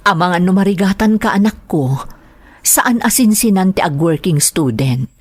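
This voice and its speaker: A woman's voice: fairly low pitch, expressive, moderate pace, husky, no echo. An actress with a Filipino accent playing a protective, anxious mother in a radio drama, pleading and emotional. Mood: worried. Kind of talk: Ilocano radio-style drama acting.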